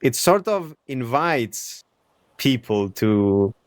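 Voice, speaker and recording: Animated man hosting a conversation. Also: medium pitch, takes it slowly, no echo, clean studio sound. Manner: animated, acts out imagined quotes, laughs easily. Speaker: podcast host. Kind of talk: casual conversation.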